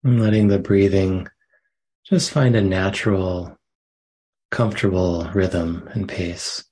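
A man leading a guided meditation as a teacher, giving soft, gentle instructions with long pauses. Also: low voice, fairly even intonation, husky.

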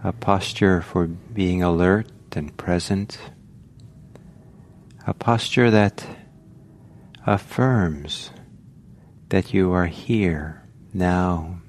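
A man leading a guided meditation as a teacher, speaking gently in short phrases with long pauses. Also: sits low. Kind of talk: guided meditation, slow spoken instructions. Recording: faint background noise.

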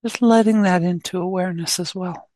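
A woman leading a guided meditation as a teacher, speaking gently in short phrases with long pauses between them. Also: on the low side, steady tone, no echo.

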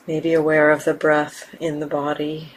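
A woman teaching a guided meditation in a gentle, unhurried manner, leaving long pauses between short phrases. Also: sits low, rough recording. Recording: steady background hiss and room ambience.

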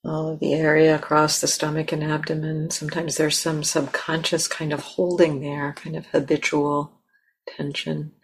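A woman leading a guided meditation as a teacher, speaking gently and unhurriedly with long pauses. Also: low-pitched, completely flat delivery, husky.

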